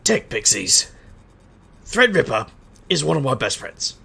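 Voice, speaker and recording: An actor playing a wry, stubborn street magician detective in an audio drama, with a gravelly, sardonic delivery. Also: male voice, medium pitch, very animated, a little slow, pushed and bright, exaggerated voice. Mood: storytelling. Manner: sardonic, wisecracking. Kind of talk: audio drama acting and noir-like narration.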